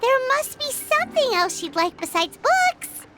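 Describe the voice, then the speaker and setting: An actress voicing a small, eager, inquisitive childlike companion in an anime game, with a squeaky voice. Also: female voice, very animated, measured pace, over loud music, heavily acted. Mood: earnest, questioning. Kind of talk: anime video game voice acting.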